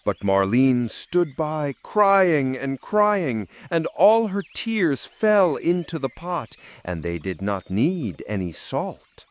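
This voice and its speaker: A man narrating a dark fairy tale in a dramatic storytelling style. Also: on the high side, very animated, slow pace, hardly any pauses. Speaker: audiobook narrator.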